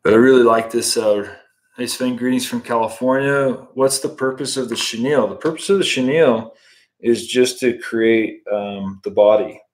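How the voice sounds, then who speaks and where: A man in his thirties from the United States, casually teaching fly tying on a livestream while chatting with viewers from home. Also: mid-range voice. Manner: relaxed, chatty, answers viewer comments while working. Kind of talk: casual live tutorial with chat. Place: home room, indoors.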